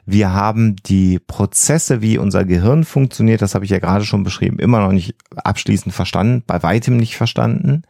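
Low, creaky-voiced man. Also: brisk, few pauses, warm tone, dry recording, clean studio sound. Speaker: podcast co-host. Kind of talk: conversational explanatory podcast talk.

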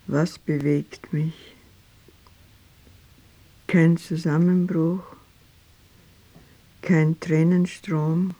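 An actress playing a grieving grown daughter in a literary radio play, reciting her memories in halting, deliberate phrases. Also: sits low, heavily acted, low-quality recording. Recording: loud strings and brass over voice.

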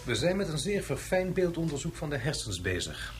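A man's voice: on the high side, big pitch swings, fairly quick, music in the background. A man playing a pedantic, eager scientist in a radio drama, explaining with some hesitation. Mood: pedantic, irritated. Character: pedantic male scientist, fussy and eager professor.

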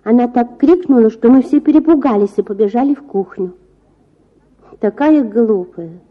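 A woman's voice: fairly high pitch, moderate pace, pitched above the performer's own voice, close to an everyday voice. A chatty little boy from a storybook , acted out by a woman narrator. He prattles earnestly and naively in a singsong way. The sound is slightly dull. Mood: earnest, indignant.

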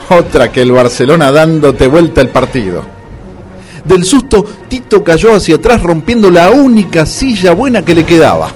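Argentine man, comic radio narrator, theatrical mock-serious reads with lively intonation, faint music underneath. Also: a little high. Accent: Argentine Rioplatense. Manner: mock-dramatic. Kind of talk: comic scripted radio narration with closing credits.

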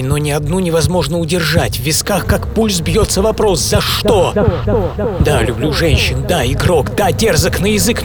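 A man's voice: mid-range voice, natural voice. A man acting a brooding imprisoned adventurer, over music. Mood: agitated. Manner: theatrical, intense, swinging from hushed whispers to agitated cries. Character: imprisoned 18th-century adventurer, proud, brooding, philosophical man. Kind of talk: dramatic first-person audio drama monologue. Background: music under the voice.

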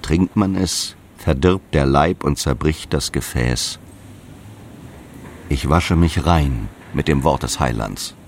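An actor playing a stern, zealous apostle who preaches and commands in solemn scriptural language for an audio drama. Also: male voice, very deep voice, slow pace, slight background noise, heavily acted. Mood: condemning, severe. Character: Paulus, stern zealous male apostle, guardian of the faith.

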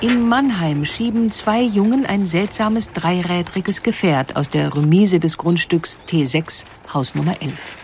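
A woman narrating a scripted historical story in a measured, articulate way. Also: on the low side, a little mellow, a little hiss or hum. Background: music under the voice.

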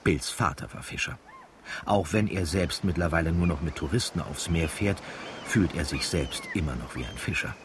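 An actor playing a wry, easygoing narrator of village life in a radio drama, telling it in an unhurried way. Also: male voice, deep voice, noisy background, lightly acted. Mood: matter-of-fact.

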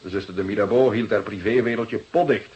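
An actor playing an earnest police detective's assistant in a Dutch radio drama, speaking plainly and matter-of-factly. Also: male voice, on the low side, a little fast, darkish tone, dull audio, natural voice.

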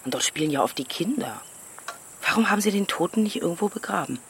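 A woman's voice: fairly low pitch, expressive, fast, slight background noise. An actress playing a troubled, worried village woman in a naturalistic radio drama.

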